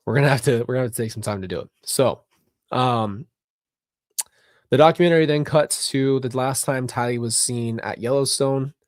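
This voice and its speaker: Low-pitched man, halting reflective commentary. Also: no room sound, studio quality. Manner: halting, repeats phrases. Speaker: documentary reaction host. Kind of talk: personal, reflective livestream commentary.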